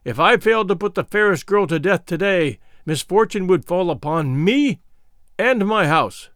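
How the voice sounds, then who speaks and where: A man's voice: sits high, lively intonation, normal speed, a bit bright, dry recording, studio quality. A man playing a cruel king who makes grave, commanding pronouncements in archaic speech. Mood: solemn menace.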